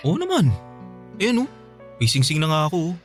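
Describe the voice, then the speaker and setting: A man's voice: on the high side, huge pitch swings, a little fast, soft background music. A man acting a jobless, wheedling boyfriend in a radio drama, pleading and defensive. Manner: pleading, coaxing. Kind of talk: radio drama acting in Filipino love story.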